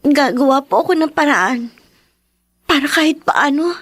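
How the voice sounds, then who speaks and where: A woman with a Filipino accent acting a devoted, dutiful teenage daughter in a Tagalog radio drama, respectful and soft-hearted in manner. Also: sits high, expressive, moderate pace, no echo, studio quality. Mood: sad resolve.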